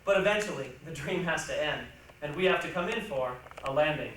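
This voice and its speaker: A woman's voice: sits low, expressive, brisk, a bit bright. A woman performing dramatic, emphatic narration in a readers' theatre piece. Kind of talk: dramatic recited stage narration. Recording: very echoey hall, distant mic, faint noise.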